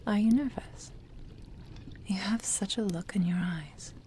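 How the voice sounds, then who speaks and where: Slow, whispering possessive witch played by a woman. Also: medium pitch, mellow, a little hiss or hum, lightly acted. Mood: doting, instructive. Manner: slow, breathy whispering, doting and coaxing. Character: possessive, soothing yandere witch, long-lived and secretive. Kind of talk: whispered ASMR roleplay.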